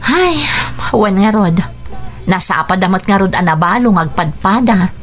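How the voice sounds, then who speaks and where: An actress playing an affectionate, teasing young daughter in a radio drama, speaking with an Ilocano accent. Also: female voice, medium pitch, animated, normal speed, soft background music, natural voice. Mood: chatty. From Philippines.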